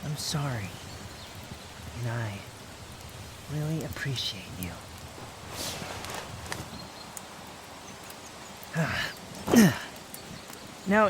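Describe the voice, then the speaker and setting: A man's voice: fairly high pitch, noisy background. A man playing a proud, bitter young man, guarded but tender, in an intimate ASMR roleplay with brooding, curt, confessional delivery. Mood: softened, apologetic, then gruff, tender. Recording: outdoor nature ambience clearly behind voice.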